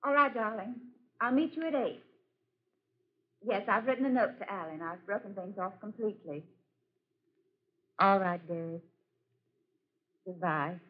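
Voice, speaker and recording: A woman's voice: mid-range voice, a little slow, long pauses, lightly acted. An actress in a 1940s radio drama playing a cold, dismissive woman who jilts her suitor. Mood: affectionate. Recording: muffled old 1940s radio recording.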